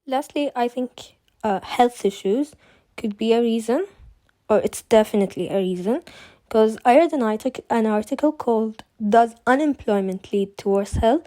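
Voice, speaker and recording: A woman's voice: a little high, moderate pace, dark tone, no room sound. A young woman from Kuwait, a student presenting at a seminar, reading prepared remarks with small restarts.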